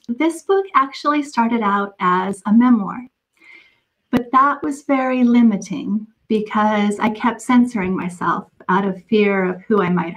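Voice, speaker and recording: A woman novelist speaking as a podcast guest, answering conversationally in a measured, articulate manner. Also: medium pitch, a bit bright, rough recording. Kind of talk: conversational anecdotal interview answers.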